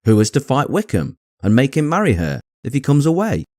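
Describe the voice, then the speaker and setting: A man's voice: mid-range voice, sing-song, a little fast, darkish tone, dry recording, clean studio sound, voice pushed up. A man voicing an anxious, overwrought English mother in an audiobook, exclaiming in agitation. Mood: agitated. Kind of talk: acted dialogue in audiobook reading.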